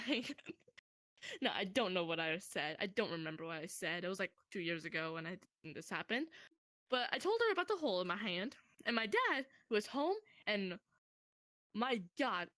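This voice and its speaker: A young woman casually telling a personal story to a friend in a rambling, animated way, swearing freely. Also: mid-range voice, no room sound, clean studio sound.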